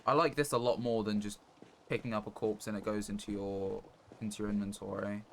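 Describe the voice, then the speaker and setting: Low-voiced male game streamer, noisy background. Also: level tone, creaky. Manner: halting, rambling, thinking aloud. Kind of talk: casual live gameplay commentary. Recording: noticeable background noise.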